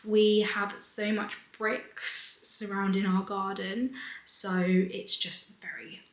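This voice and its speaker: A young British woman chatting breezily to camera, a little hoarse, her words rambling and sometimes dropping into a half-whisper. The sound bounces around an echoey room. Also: medium pitch, level tone, takes it slowly. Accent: British English. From United Kingdom. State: slightly hoarse. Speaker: vlogger. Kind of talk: casual chatty vlog.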